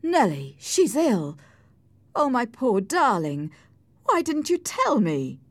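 A woman voicing an anxious, grieving gentleman husband in an audiobook, speaking earnestly and sorrowfully. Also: on the high side, wildly swooping pitch, slow pace, no room sound, voice pushed up, full character voice. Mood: alarmed, tender. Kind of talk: audiobook character acting.

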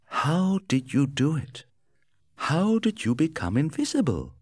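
A man's voice: a little high, wildly swooping pitch, measured pace, darkish tone, breathy edge, no room sound, studio quality, voice pushed up, heavily acted. A man voicing a startled young doctor asking urgent questions.

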